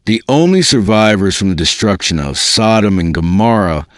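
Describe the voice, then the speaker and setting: Guy doing dramatic audiobook narration, lowish voice that moves up and down a lot, dry close recording. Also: expressive, normal speed, clean tone, dry recording. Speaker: voice-over narrator reading a book.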